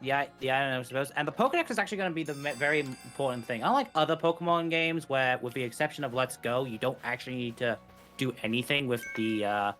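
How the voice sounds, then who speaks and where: A man's voice: medium pitch, fast talker, fairly bright tone, soft background music. A man giving casual, explanatory co-commentary on a live speedrun, paraphrasing things informally.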